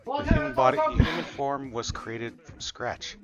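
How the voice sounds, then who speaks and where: A man voicing an earnest dragon shapeshifter in improvised online roleplay, explaining himself in halting, stammered phrases. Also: medium pitch, huge pitch swings, gravelly, music in the background. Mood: explanatory. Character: earnest male dragon shapeshifter, once human and robot.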